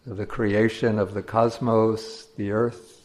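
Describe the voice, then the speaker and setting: A man teaching meditation, giving guided instructions in measured phrases with pauses between them. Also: on the low side, level tone, a little mellow.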